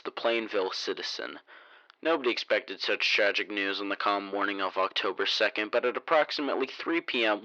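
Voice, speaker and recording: A man's voice: on the low side, bright, forceful tone, dry recording, lo-fi sound. A man narrating a scripted newspaper report in a sober, formal manner. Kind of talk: scripted news-article narration.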